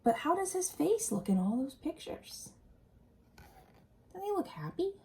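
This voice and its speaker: A woman reading a picture book aloud expressively to young children, pausing to ask them simple questions. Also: very high-pitched, very animated, darkish tone.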